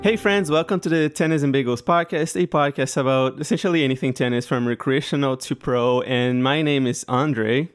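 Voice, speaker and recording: A man's voice: mid-range voice, a little fast, hardly any pauses, soft background music. Hesitant Brazilian-accented man hosting. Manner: loose, hesitant, many fillers. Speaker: podcast host. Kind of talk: casual podcast chat.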